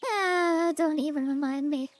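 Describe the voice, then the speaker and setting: A woman's voice: high-pitched, measured pace. A woman giving casual video game commentary, her voice run through a voice changer. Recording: roomy sound, faint game music behind. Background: faint game music.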